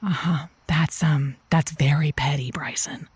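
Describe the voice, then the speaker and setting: A woman's voice: low voice, fairly even intonation, measured pace, darkish tone, husky, no room sound, full character voice. A woman playing a breezy, supportive radio advice host in an audio drama, with a playful, emphatic delivery. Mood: gently chiding.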